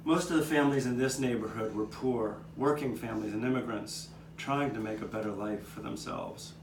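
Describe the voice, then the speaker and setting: A man playing an old street cat who reminisces in a measured, reflective way, as scripted narration for a live puppet show. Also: mid-range voice, lightly acted. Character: old male street cat, reminiscing storyteller with nine lives. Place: outdoor courtyard. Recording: distant, echoey live taping with background noise.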